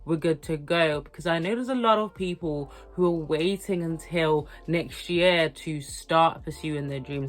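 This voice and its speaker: A young woman, hoarse from a cold, talking earnestly and encouragingly to her viewers in a motivational vlog. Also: low-pitched. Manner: earnest, encouraging, repetitive emphasis. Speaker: lifestyle vlogger. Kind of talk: motivational talk to camera.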